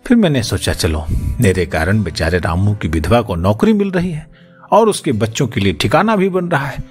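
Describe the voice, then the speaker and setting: A man reading an audiobook as its narrator, in an expressive scripted storytelling style. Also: lowish voice, moderate pace, soft background music.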